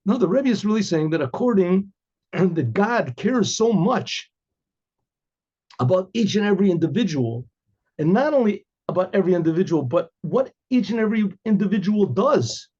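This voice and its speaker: A man, a rabbi, telling religious stories informally in a rambling, conversational way, with hesitations, repetitions and frequent throat-clearing. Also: high voice, expressive, moderate pace, mellow. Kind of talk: informal oral storytelling with religious commentary. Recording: dull, lo-fi recording.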